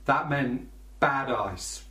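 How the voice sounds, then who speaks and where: A man's voice: medium pitch, extremely slow, sharp tone. A man preaching a sermon, explaining scripture in a conversational way with small restarts. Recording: roomy, from a distance.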